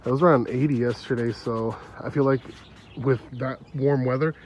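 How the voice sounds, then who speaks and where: A man's voice: mid-range voice, steady tone, moderate pace, a little hiss or hum, low-quality recording. A congested man chatting casually as he works on his car outdoors, filming on a phone, laughing often. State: congested, stuffy nose. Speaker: car hobbyist vlogger. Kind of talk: casual how-to vlog narration. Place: outdoors in a yard. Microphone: phone held while working.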